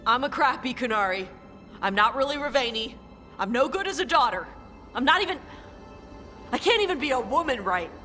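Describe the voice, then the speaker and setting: A woman's voice: sits high, expressive, fairly quick, long pauses, sharp tone. A woman voicing an intense, driven and self-doubting young dragon slayer in a video game. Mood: anguished. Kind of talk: dramatic video game dialogue. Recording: long reverb, faint music.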